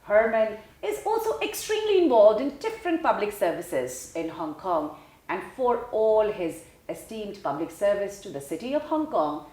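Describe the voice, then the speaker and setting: A woman hosts an interview show with a polished presenter's delivery. Her voice rises and falls with lively melody, and the room around her echoes noticeably. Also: medium pitch. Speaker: show host. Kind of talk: scripted introduction and interviewing.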